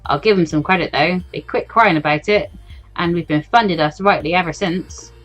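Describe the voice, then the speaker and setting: A woman's voice: lowish voice, normal speed, a bit bright, soft background music, voice pushed down, low-quality recording. A woman voicing a cynical, blunt man drinking at a bar in a visual novel, talking in a casual, grumbling way. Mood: cynical, dismissive. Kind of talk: visual novel read-aloud.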